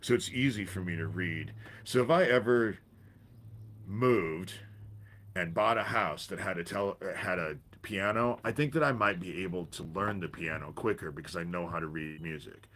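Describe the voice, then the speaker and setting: Deep-voiced man, congested from a cold. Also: a bit bright. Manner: rambling, pauses, self-interrupting. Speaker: livestream host. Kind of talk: casual livestream chat. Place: back porch outdoors.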